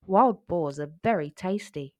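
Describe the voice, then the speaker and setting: Woman as a patient storybook mother tiger, animated. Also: lowish voice, measured pace, no echo. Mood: calm, instructive. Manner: patient, instructive. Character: patient adult mother tiger, gently teaching her cubs. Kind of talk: children's storybook character voice.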